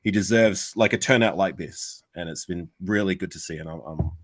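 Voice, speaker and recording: A man's voice: low voice, moderate pace, no room sound. An Australian man chatting casually as a guest on a fan podcast, rambling with lots of restarts. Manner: rambling, full of restarts and fillers. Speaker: guest content creator.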